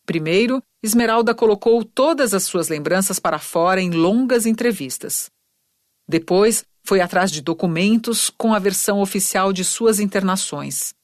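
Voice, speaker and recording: A woman narrating an educational documentary in a measured, scripted read, also putting questions to the interviewee. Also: medium pitch, no echo, clean studio sound. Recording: music bed underneath.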